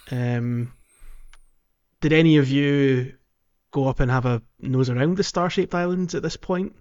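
A man's voice: medium pitch, clean tone, lo-fi sound. A man co-hosting a gaming podcast in casual conversation. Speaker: podcast host. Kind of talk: casual chat.

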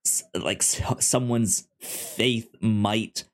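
A man co-hosting a podcast, chatting casually about an audio drama in a halting, stammering way that often repeats the starts of words. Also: lowish voice. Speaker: podcast co-host.

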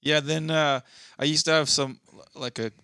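A man's voice: on the high side, fairly even intonation, normal speed, creaky, pushed and bright, clean tone, no echo, studio quality. A man from Southern California with a slight East LA accent, co-hosting a casual podcast in a loose, rambling, profane way. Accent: East Los Angeles. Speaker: podcast co-host. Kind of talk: casual chat.

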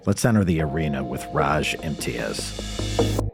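A man's voice: rumbling low, animated, vocal fry. A man hosting a business podcast, who reads a scripted introduction and then asks questions full of fillers and restarts. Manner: halting and filler-heavy when asking questions. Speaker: podcast host. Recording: music bed under the voice.

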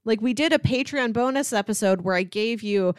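A woman's voice: fairly high pitch, a little fast, clean tone, dry recording, clean studio sound. A woman co-hosting a podcast, talking in a casual, conversational way. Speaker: podcast co-host.

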